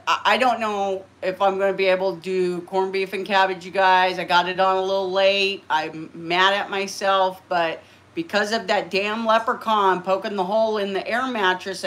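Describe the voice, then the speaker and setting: A woman's voice: medium pitch, fairly bright tone. A middle-aged woman hosting a casual livestream from her living room, chatty and rambling, often laughing. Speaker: livestream host. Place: living room, green party decorations.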